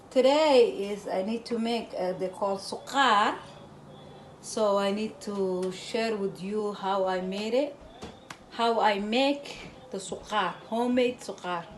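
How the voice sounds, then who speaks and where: A woman's voice: mid-range voice, a little hiss or hum. Somali-accented woman demonstrating home cooking. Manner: halting broken English, repeats words while explaining. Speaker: cooking channel host. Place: home kitchen.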